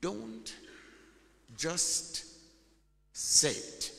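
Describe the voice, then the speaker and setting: A man's voice: high voice, animated, very slow, vocal fry, bright, forceful tone. A man preaching a sermon in a church, emphatic and call-and-response, drawing out his key words. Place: large church hall. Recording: long church hall reverb.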